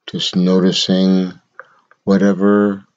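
A man leading a guided meditation in a measured, instructional way, speaking in short phrases with long pauses. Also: sits low, monotone, darkish tone, highs cut off.

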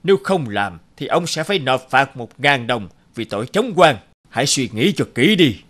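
A man voicing a stern, just mandarin in an animated folk tale, speaking with authority. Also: medium pitch, moderate pace. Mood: commanding. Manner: authoritative, commanding. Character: stern, just male mandarin official judging villagers.

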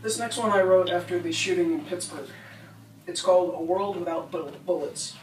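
A woman's voice: lowish voice, fairly even intonation, moderate pace, a little hiss or hum. American woman reading her poems at a mic, sounds kinda far off and roomy. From United States. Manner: rhythmic, rhyming delivery with small laughs between poems. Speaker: poet. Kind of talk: spoken word poetry reading. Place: bookstore reading room. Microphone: standing mic, filmed from a distance. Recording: roomy sound from a distant camera.

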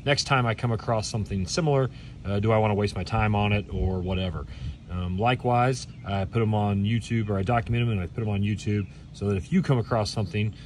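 A man giving casual, plain-spoken hands-on commentary, unhurried and halting as he works through a teardown. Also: fairly low pitch, steady tone. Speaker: video host, hobby scrapper. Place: outdoors on pavement. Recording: distant mic, outdoor background noise.

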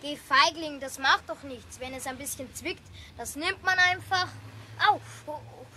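An actress voicing a curious, cheeky boy in quick banter in a children's radio play. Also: high voice, pushed and bright, slight background noise.

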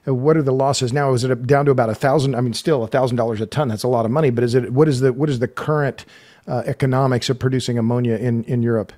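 A man in his sixties hosting a podcast, asking questions in a folksy, halting way with lots of restarts, on a close studio mic at home. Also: medium pitch, fairly even intonation, a little mellow. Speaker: podcast host. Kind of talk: conversational podcast interview. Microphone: large studio mic close up.

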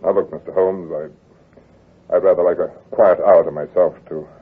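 A man's voice: sits low, steady tone, moderate pace, slightly rough. A man playing a proud, stubborn young heir in an old-time radio drama, blunt and resolute after hesitant starts. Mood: courteous, casual. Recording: tinny, muffled vintage radio recording.

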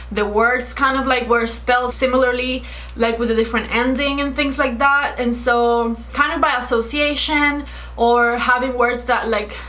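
A Cuban woman in her late twenties talks straight to the camera while doing her makeup, with a bright, fairly high voice. She is chatty and friendly and explains her story at length. Her English carries a Cuban Spanish accent. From Cuba. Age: 20s. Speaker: beauty vlogger. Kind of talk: chatty get-ready-with-me Q&A. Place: bright indoor room with shelves. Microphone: camera in front at desk distance.

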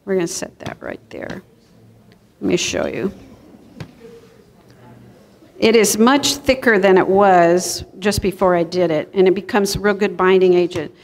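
Woman, middle-aged, cooking class presenter. Conversational and explanatory, mid-pitched, recorded live in a reverberant hall. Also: measured pace, pauses often. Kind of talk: informal live cooking demonstration. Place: church kitchen hall, indoors, before an audience.

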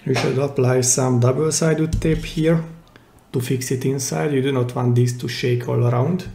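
A man giving a hands-on, step-by-step repair tutorial in simple, careful phrases with pauses mid-sentence, recorded indoors at a workbench. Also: mid-range voice, fairly even intonation, vocal fry, mellow. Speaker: DIY repair channel host. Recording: roomy, echoey indoor sound.

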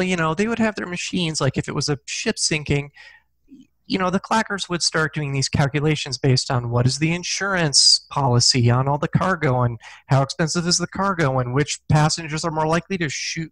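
Relaxed middle-aged man on a headset. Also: a little high, no room sound, poor audio. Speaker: author guest. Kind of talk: casual interview chat. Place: small room with a corkboard. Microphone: headset mic on a video call.

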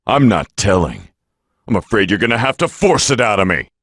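An actor playing a stern, proud alien warrior in an anime game, with a gruff voice and a terse, taunting manner. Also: male voice, big pitch swings, fairly quick, fairly bright tone, dry recording, studio quality, full character voice. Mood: menacing, taunting. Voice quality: deep, gruff. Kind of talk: English anime game voice acting.

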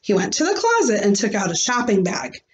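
A woman in her thirties, a teacher reading a storybook aloud with theatrical, deliberate expression, recorded in a small room at home. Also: mid-range voice, very animated, dull audio, a little hiss or hum.

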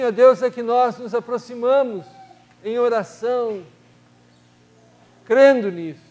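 An older man leading a solemn, measured prayer in a church sanctuary as minister, his voice softening at the ends of phrases. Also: high voice. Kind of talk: slow formal congregational prayer.

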